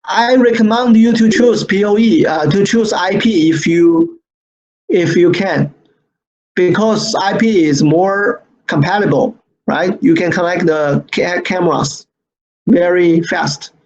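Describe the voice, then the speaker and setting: A man who speaks English as a second language presents an online product training, repeating phrases haltingly and often checking with "right?". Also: high-pitched, a little mellow. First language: not English. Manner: repetitive, halting phrasing with frequent "right?" tags. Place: screen-share online session. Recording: dull lo-fi online webinar audio.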